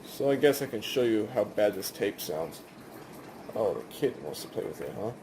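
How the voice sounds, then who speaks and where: A man's voice: on the low side, lively intonation, moderate pace. Rambling man over noticeable background noise. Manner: rambling, conversational. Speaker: video maker demonstrating cassette tapes. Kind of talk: casual hands-on demonstration commentary.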